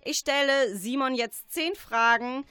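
A woman hosting a radio show, presenting and asking quick-fire interview questions. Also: sits high, sharp tone, clean tone, no room sound. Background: music.

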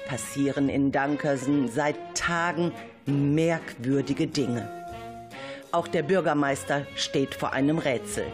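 A man playing a formal, grave newsreader delivering a scripted breaking-news bulletin in an amateur radio play. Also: a little high. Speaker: news announcer.

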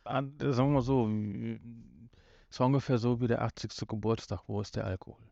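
A man's voice: mid-range voice, steady tone, a little fast, gravelly, mellow, no room sound. A man co-hosting a podcast in a casual, joking and playful way. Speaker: podcast host. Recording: slightly dull, lo-fi sound.

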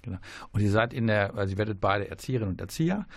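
A man's voice: fairly low pitch, expressive, rapid-fire, no room sound. A man hosting a radio show in casual, jokey chat, laughing often.

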